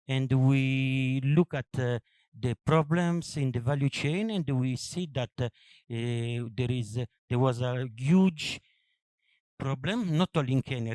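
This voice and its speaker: A man's voice: medium pitch, a little mellow, no room sound. Italian-accented man on a panel. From Italy. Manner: halting, non-native phrasing, laughs at own story. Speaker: company CEO on a business panel. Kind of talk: panel introduction.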